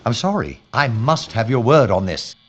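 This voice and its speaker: Man playing an animated, earnest wartime police inspector. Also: mid-range voice, normal speed, slightly rough. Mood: urgent, stern. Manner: formal, grave, courteous. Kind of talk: period audio drama dialogue. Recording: slightly muffled with background noise.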